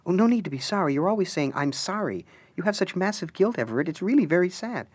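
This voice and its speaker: A man's voice: sits high, lively intonation, fast talker, breathy edge, pitched above the performer's own voice. A man reading a story aloud, voicing an exasperated young wife who scolds her husband. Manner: sharp, nagging.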